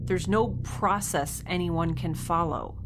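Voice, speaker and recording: A woman's voice: lowish voice, slow, noisy background. A woman playing a blunt, naive young scientist with a sarcastic, matter-of-fact manner in a naturalistic audio drama. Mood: defensive, snarky.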